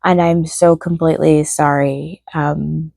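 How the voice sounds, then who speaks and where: A woman vlogger chatting casually and ramblingly to camera, a little apologetic, her voice tired and starting to go hoarse. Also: low-pitched, little pitch movement, measured pace, a little mellow, dry recording, studio quality. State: tired, low energy.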